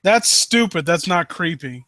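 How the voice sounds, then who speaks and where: A man's voice: sits high, animated, a little slow, bright, forceful tone, no echo. A man hosting a live stream in casual chat, blunt and given to swearing. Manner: blunt, profane. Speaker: host of a live critique stream.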